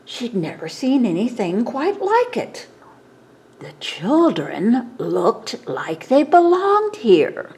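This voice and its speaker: A woman reading a picture book aloud to children as a storytime reader, in a dramatic, expressive manner. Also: on the high side, takes it slowly.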